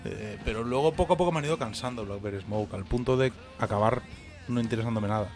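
Fast-talking man in a casual radio chat. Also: medium pitch, over music. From Argentina. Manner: joking, rambling, self-ironic.

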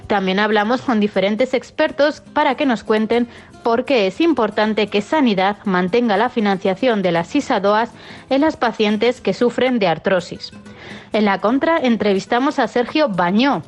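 A woman reading a scripted summary of a radio show's contents in an even, read-out manner. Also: a little high, moderate pace, faint music behind. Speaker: show narrator. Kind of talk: scripted narration.

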